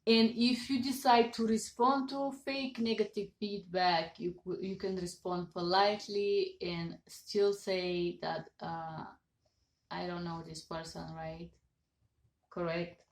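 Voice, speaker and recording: A woman's voice: on the low side, level tone, slow pace. A woman in her thirties with a Bulgarian accent, earnestly giving business advice to a webcam and stressing each numbered point. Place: home office with certificates on wall. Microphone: webcam at desk. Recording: echoey room.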